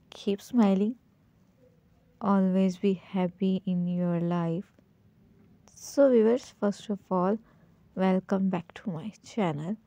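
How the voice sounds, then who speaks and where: A woman hosting a home decor video, talking slowly over a slideshow, often saying "so viewers" and pausing with "uh". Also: medium pitch, dark tone, dry recording, dull audio. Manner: repeats "so viewers", many "uh" pauses. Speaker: channel host.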